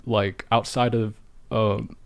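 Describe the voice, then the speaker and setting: A young male podcast host from St. Louis with a gravelly voice that sits on the low side. He talks in a loose, rambling way, and the sound is dry and close with no room echo. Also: flat delivery, slow. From St. Louis, Midwest US. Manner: laid-back, rambling, heavy use of "like". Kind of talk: casual conversation.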